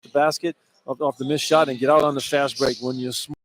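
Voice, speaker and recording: A man's voice: mid-range voice, fairly even intonation, brisk. A man working as a color analyst, talking conversationally through live sports analysis on the radio. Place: courtside in a sports arena.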